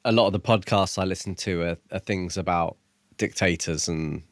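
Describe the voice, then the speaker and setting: A man's voice: deep voice, normal speed, no room sound. A man co-hosting a podcast, talking casually and reflectively as he pieces together an analysis of a book. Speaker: podcast co-host.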